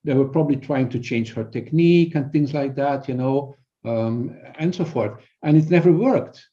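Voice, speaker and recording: A middle-aged man with a Belgian accent explaining things as an interview guest, in a halting way with repeated words. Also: medium pitch, dark tone. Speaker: guest, performance coach. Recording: dull, band-limited audio.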